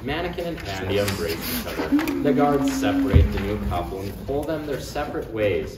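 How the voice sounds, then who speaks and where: Guy reading stage directions, lots of up and down, far off in a roomy noisy space. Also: lowish voice. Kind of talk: animated scripted narration at a table read. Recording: roomy, distant mic, clear noise.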